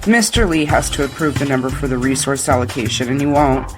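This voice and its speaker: An actress playing a brisk camp overseer who is eager to please her boss in an audio drama. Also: a bass voice, music in the background. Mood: businesslike.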